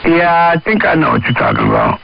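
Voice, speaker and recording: A man's voice: a little high, wildly swooping pitch, brisk, gravelly. An American man chatting casually and emphatically over two-way radio, using slang and swearing freely. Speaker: CB radio operator. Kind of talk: casual chat about video games. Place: on-air CB radio transmission. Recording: dull CB radio with hiss.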